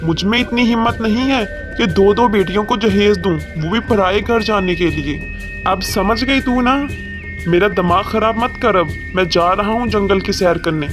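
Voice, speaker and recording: A domineering cartoon father bird, acted by a man, pitched high for a male voice. He talks in a harsh, boastful, scolding way, in a long hall-like reverb with music clearly behind him. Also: normal speed, natural voice. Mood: angry, threatening. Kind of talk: Hindi cartoon voice acting.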